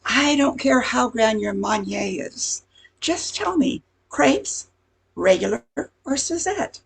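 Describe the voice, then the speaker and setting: A woman playing a blunt, impatient diner waitress in an amateur radio comedy, with a husky voice. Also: fairly high pitch, lively intonation, slow, heavily acted. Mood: exasperated. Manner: blunt, sassy.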